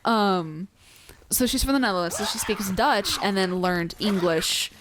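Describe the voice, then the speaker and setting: A woman's voice: mid-range voice, measured pace, noisy background. A young woman from the Pacific Northwest with an American accent, chatting casually over gameplay, acting out quotes in her stories and swearing freely. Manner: animated storyteller, acts out quotes, swears casually. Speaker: co-host of a gameplay let's play.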